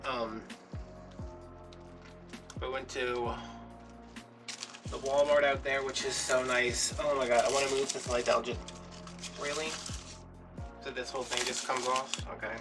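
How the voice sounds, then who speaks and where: A man's voice: mid-range voice, little pitch movement, unhurried, long pauses, distant mic. An American man in his late thirties chatting casually and rambling through playfully dramatic stories as he does a craft vlog. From New Orleans area, USA. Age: late 30s. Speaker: craft vlogger. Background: background music.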